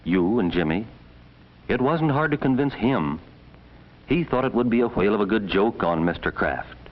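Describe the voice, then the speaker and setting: A man narrating a 1950s educational film as a stern, measured voice reproachfully addressing schoolboys. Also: lowish voice, expressive, lightly acted. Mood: grave, reproachful. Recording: dull vintage 1950s film soundtrack.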